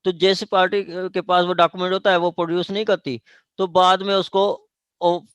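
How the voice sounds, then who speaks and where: A man with a Pakistani accent explaining law to a class informally and haltingly, often checking that they follow. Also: high-pitched, flat delivery, a bit bright, smooth, no echo. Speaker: law lecturer. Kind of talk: informal classroom legal explanation with question and answer.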